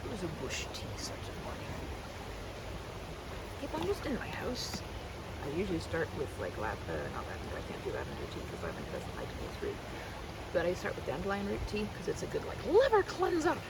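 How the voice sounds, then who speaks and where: A woman's voice: fairly low pitch, huge pitch swings, a little fast, very noisy. An American woman rambling casually in a friend roleplay for ASMR, chatty and self-correcting, poking fun at herself. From United States. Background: riverside ambience, running water, boats.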